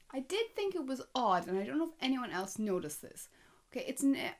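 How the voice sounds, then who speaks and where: A woman giving a casual, chatty film review, speaking conversationally with emphatic asides and rhetorical questions. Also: mid-range voice. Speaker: movie review vlogger.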